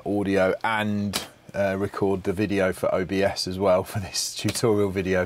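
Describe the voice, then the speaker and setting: A man's voice: low-pitched, a little hiss or hum. A middle-aged man presenting an unscripted audio production tutorial, thinking aloud in a somewhat hesitant way. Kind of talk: unscripted screen-share tutorial.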